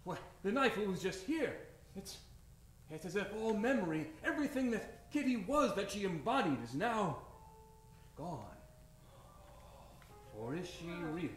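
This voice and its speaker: A man's voice: high-pitched, very animated, measured pace, long pauses, soft background music. A man playing a blustering, flustered prison warden with theatrical old-time radio delivery. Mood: bewildered. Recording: noisy, echoey live stage.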